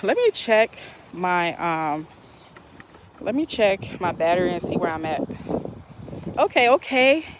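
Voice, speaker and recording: A woman's voice: fairly low pitch, very animated, unhurried, long pauses, a little hiss or hum. A woman chatting casually to viewers while watering her outdoor garden, speaking into a phone and laughing often. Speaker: gardening vlogger.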